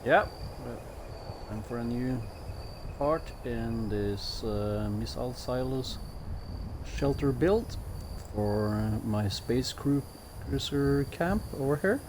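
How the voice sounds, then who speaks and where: A man's voice: medium pitch, animated, takes it slowly, background noise. A man with a Norwegian accent gives easygoing, chatty commentary as a game streamer, with self-mocking jokes. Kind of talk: casual commentary while playing a building game.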